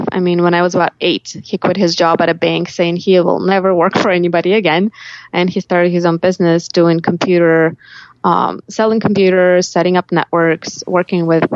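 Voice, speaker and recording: A woman's voice: fairly low pitch, dry recording, muffled recording. A woman with a Russian accent telling reflective personal stories as an interview guest, often voicing her own thoughts aloud. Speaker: life coach, podcast guest.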